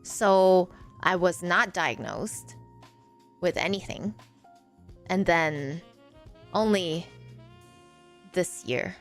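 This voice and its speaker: A woman in her forties who grew up in Malaysia, a streamer answering chat in a halting way with many fillers. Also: on the low side, expressive, faint music behind. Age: 40s. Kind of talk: live stream chat reading viewer comments.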